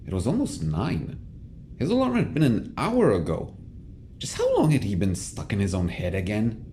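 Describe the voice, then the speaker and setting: Deep, expressive male narrator. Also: normal speed, slight background noise. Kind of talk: scripted audio drama narration. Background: music.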